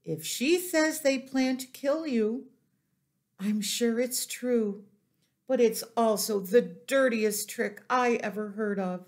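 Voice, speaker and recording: A woman voicing a composed, motherly female spider in a storybook, brisk and firm. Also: fairly high pitch, a little mellow, pitched above the performer's own voice. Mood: thoughtful.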